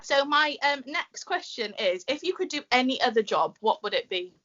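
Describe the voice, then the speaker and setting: Bright young woman, slightly muffled. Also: high voice, lively intonation, moderate pace. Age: 20s. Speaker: interview host. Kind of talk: casual interview chat. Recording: dull lo-fi audio.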